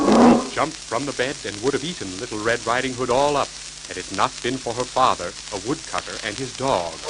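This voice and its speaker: Lively male storyteller, crackly old record. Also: medium pitch. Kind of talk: children's story reading. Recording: scratchy 1940s 78 rpm record, loud surface hiss.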